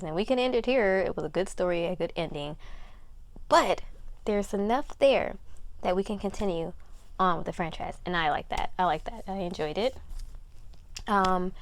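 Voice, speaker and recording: A woman chatting casually as a film reviewer, informal and hedging, often repeating herself for emphasis. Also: fairly low pitch.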